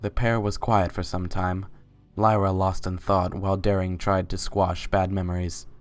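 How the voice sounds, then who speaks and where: Casting: male storybook narrator, deep and flat in delivery, faint music bed. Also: moderate pace. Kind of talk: scripted narration of a fan fiction story.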